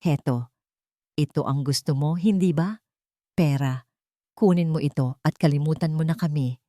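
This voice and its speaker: Woman voicing a scheming, anxious man, mellow tone. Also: sits low, normal speed, no echo, clean studio sound, natural voice. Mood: dismissive. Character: scheming, anxious man hiding his guilt. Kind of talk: dramatic storybook dialogue.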